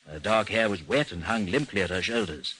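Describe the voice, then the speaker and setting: An actor playing a composed, dry investigation chief in an old radio drama, speaking and narrating in a formal, understated way. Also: male voice, lowish voice, expressive, a little fast, pushed and bright, a little hiss or hum. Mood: measured narrating. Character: composed, dry British chief of a missing persons bureau, narrating his case.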